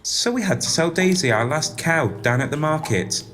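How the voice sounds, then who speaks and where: Woman acting naive youthful farm boy, low pitch, lively swings, exclamatory, pantomime. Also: moderate pace, a little hiss or hum. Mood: chatty, explaining. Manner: earnest, exclamatory, inquisitive.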